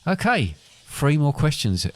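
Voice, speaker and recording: A man's voice: on the high side, sing-song, no room sound. A man co-hosting a casual podcast and reading out quiz questions, his voice a little worn as if he is losing it. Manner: slow, dry quizmaster delivery. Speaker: podcast co-host, quizmaster.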